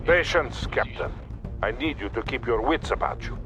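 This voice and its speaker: Male actor, middle-aged Soviet commander, medium pitch, measured and authoritative, game radio orders, music behind. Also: lively intonation, a bit bright, lightly acted. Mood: wary, firm. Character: steady, cautious middle-aged Soviet colonel. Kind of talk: video game voice acting.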